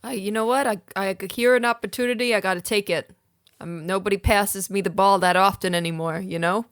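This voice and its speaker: A woman's voice: medium pitch, steady tone, fairly quick, no echo, studio quality, natural voice. A woman playing a terse, team-minded sports coach in improvised comedy, earnest and plain-spoken. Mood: earnest, resolute. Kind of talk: improvised comedy character talk.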